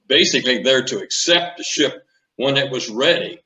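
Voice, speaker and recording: A man in his eighties reminiscing in an interview, speaking in a measured, deliberate way. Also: mid-range voice, bright, forceful tone, highs cut off. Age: 80s. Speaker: interview guest, retired naval commanding officer. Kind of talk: conversational reminiscence. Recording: echoey room sound.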